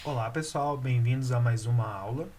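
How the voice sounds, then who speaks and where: A man with a Brazilian Portuguese accent teaching programming in a relaxed, hesitant way, explaining step by step as he types code. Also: medium pitch, normal speed, a little hiss or hum. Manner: relaxed, hesitant explaining with fillers while typing. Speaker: programming instructor. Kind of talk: screen-recorded coding lesson.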